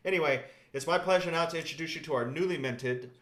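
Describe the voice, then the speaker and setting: A man's voice: fairly high pitch, fast talker, fairly bright tone. Casual man hosting a video meeting, roomy sound. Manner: casual, joking, with frequent fillers. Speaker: meeting moderator. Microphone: video call.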